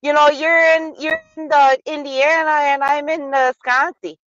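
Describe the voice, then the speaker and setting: A woman with a fairly high voice jokes around in casual chat, teasing her friend and laughing often. She sometimes slips into a folksy Midwestern put-on accent. The recording is dry and dull, with no room sound. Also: flat delivery, moderate pace, fairly bright tone, no room sound. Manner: teasing, giggly, crude jokes.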